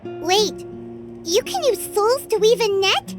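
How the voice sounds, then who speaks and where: A woman voicing a tiny, cheerful, eager fairy companion with a squeaky childlike voice in a video game. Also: expressive, slow pace, over music, full character voice. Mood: alarmed.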